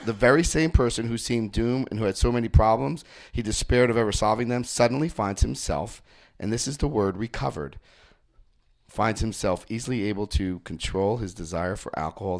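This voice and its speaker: A man from New Jersey with a New Jersey accent, leading a recovery group through a book with jokes and stories and checking in with his listeners. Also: fairly low pitch. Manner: joking, storytelling, checks in often with the group. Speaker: recovery workshop speaker.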